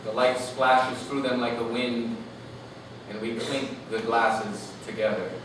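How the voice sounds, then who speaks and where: In a very reverberant room, away from the mic with noise behind him, a man reads his literary work aloud. His delivery is lyrical and animated, with deliberate, expressive phrasing at a middling pitch. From Canada. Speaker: author reading own work. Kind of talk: expressive literary reading to an audience. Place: live reading event in a room. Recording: echoey noisy room, distant mic.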